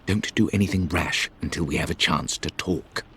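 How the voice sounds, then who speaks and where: A man's voice: deep voice, moderate pace, fairly bright tone, slight background noise. An actor playing a stern upper-class man, reading an admonishing family letter aloud in a formal manner for a video game. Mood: urgent, reproving. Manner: formal, reproving. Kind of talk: dramatic game letter narration.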